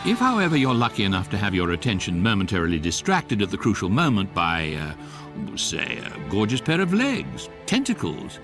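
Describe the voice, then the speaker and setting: An actor voicing a wry electronic guidebook, narrating a comic science fiction radio drama in a measured, deadpan way. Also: male voice, lowish voice, music in the background. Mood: wry, instructive.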